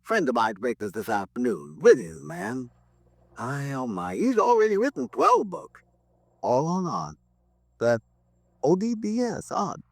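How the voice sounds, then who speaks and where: A man's voice: on the high side, huge pitch swings, a little slow, vocal fry, dry recording, clean studio sound, exaggerated voice. An actor playing an eccentric, rambling crank who earnestly preaches doom in a 1950s radio drama, hesitating as he goes. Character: eccentric male crank author, rambling doomsday prophet.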